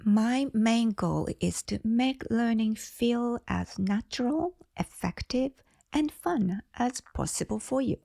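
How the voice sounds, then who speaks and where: A middle-aged Japanese woman with a Japanese accent, hosting an English lesson and speaking slowly and deliberately. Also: a little high, animated, warm tone, no echo, clean studio sound. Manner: slow, deliberate, carefully clear delivery. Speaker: English teacher and channel host. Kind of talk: slow scripted English lesson talk.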